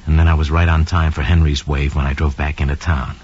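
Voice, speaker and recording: An actor playing a smug, scheming young murderer who confesses coldly and boastfully in an old radio drama monologue. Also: male voice, rumbling low, flat delivery, fairly quick, darkish tone, breathy edge. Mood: smug, calculating. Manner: sly, cold, boastful, slangy. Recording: dull lo-fi old radio recording.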